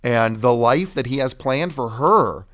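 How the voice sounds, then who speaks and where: A man's voice: medium pitch, lively intonation, unhurried, a bit bright. A man, a pastor guest, giving personal testimony in a blunt, emphatic conversational way.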